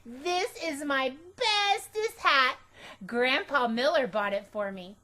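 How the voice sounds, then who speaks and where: Woman acting as bold five-year-old girl, storybook character, very high, swooping pitch, childish, emphatic, excitable. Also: slow, heavily acted. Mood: proud, boastful. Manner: blunt, emphatic, childish grammar.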